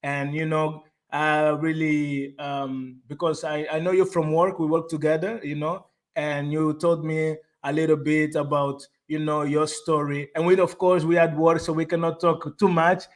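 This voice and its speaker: A man hosting a live online interview, excitable and repeating himself, often stopping to restart a phrase. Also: a little high. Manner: enthusiastic, repeats words, halting restarts. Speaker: host of a live online show. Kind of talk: casual interview.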